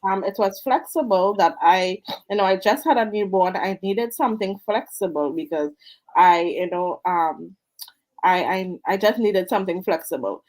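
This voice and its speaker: A woman from Trinidad and Tobago with a Trinidadian accent, speaking on a video call as an interview guest, earnest and warm and quick to laugh. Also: mid-range voice. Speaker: guest, online business mentor. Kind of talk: casual interview.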